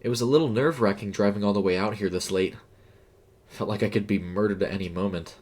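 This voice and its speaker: A man voicing a nervous young woman who works in a fast food kitchen, speaking quietly and hesitantly in an audio story. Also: fairly low pitch, natural voice. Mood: uneasy.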